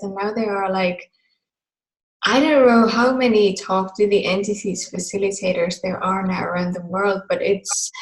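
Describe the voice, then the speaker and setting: A chatty woman from Finland talks casually over a video call, her voice sitting at an everyday middle pitch. She drifts through fillers and little asides. The call audio carries a roomy echo. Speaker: host of a group video call. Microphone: computer mic on a video call.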